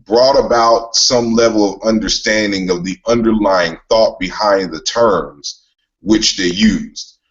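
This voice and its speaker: A man being interviewed as an author, explaining his research in a steady, explanatory way with many "uh" and "you know" fillers. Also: on the low side, dull audio. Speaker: author and guest. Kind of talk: relaxed interview talk.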